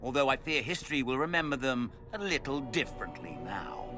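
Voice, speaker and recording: A man's voice: mid-range voice, sing-song, a little fast, music in the background, close to an everyday voice. Man playing a pompous swooping villain mage. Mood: mocking, sly. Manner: pompous, mocking, theatrical. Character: smug theatrical male villain, cultist mage lord. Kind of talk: video game cutscene acting.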